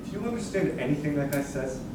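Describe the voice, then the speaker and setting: A man's voice: a little high, expressive, fast, heavy background noise, close to an everyday voice. A young man acting and singing the part of a worn-down but hopeful mall manager in a staged musical reading. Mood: casual, wry. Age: young adult. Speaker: student actor singing and reading a lead part. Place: echoey indoor room, distant mic. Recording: echoey room from far off, music behind.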